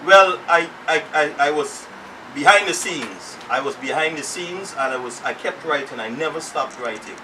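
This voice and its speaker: A man's voice: on the high side, expressive, moderate pace. A man from Trinidad being interviewed on a TV studio set, talking earnestly and often repeating and restarting his phrases. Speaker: musician guest. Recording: distant mic with noisy studio air.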